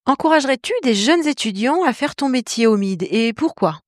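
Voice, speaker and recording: A woman's voice: on the high side, animated, moderate pace, no echo, studio quality. A woman hosting a podcast interview, reading scripted questions in a polite, presenter-like way.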